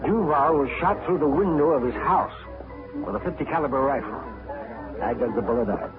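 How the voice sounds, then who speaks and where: An actor playing a folksy older frontier town doctor who hems and haws with "uh" fillers, in a 1950s radio drama. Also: male voice, on the high side, lively intonation, brisk. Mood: chatty. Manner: hesitant, full of "uh" fillers. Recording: tinny old radio broadcast with loud music.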